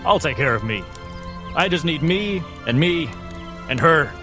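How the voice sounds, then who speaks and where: A man's voice: fairly high pitch, expressive, measured pace, pitched above the performer's own voice. A man voicing a burly, kindly baker father in a tabletop fantasy game, with music behind him. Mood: easygoing, reassuring.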